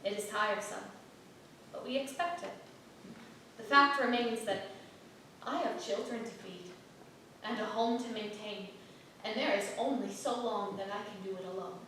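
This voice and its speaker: A woman's voice: mid-range voice, moderate pace, pauses often, pushed and bright, lightly acted. Actress as weary pragmatic mother, echoey theatre. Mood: weary resolve. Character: Agnes, a weary, pragmatic mother in an early modern town. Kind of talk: staged reading of a period stage play. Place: playhouse stage. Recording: echoey theatre from far off, faint noise.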